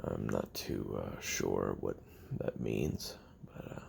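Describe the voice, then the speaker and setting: A man playing a weary, sardonic assassin recording confessional memoirs, halting and deadpan, with a gravelly voice. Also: a bass voice, a little hiss or hum, exaggerated voice. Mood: dry, sardonic. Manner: halting, deadpan, dryly self-deprecating. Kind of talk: confessional audio roleplay monologue.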